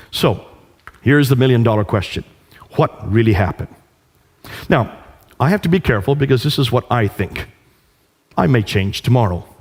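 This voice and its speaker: A man's voice: mid-range voice, a little mellow. A man giving a public lecture off the cuff in an emphatic manner, repeating phrases for stress and posing rhetorical questions. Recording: hall with long reverb.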